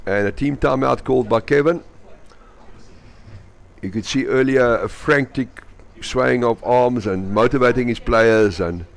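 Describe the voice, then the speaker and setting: A man's voice: mid-range voice, measured pace, gravelly. A man with a South African accent, a native Afrikaans speaker, giving live match commentary and cheering on his team. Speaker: sports commentator.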